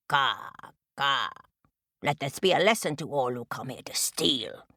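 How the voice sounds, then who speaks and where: Lady doing a mean raspy old-tale crow guy, bossy, scolding, slow and threatening. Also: rumbling low, fairly bright tone, no echo, studio quality, pitched below the performer's own voice, full character voice. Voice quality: rasping. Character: angry, bossy male crow, greedy and menacing.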